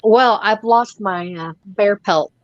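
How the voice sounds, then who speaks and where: Slow-talking, easygoing woman. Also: medium pitch, dry recording, muffled recording. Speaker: tattoo artist guest. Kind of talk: casual chat on a livestream.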